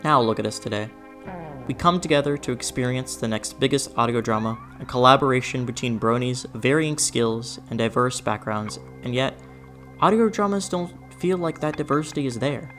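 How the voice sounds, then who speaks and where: A person delivering a scripted, opinionated video essay in an expressive, emphatic way with conversational asides. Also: medium pitch, moderate pace. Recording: music behind the voice. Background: background music.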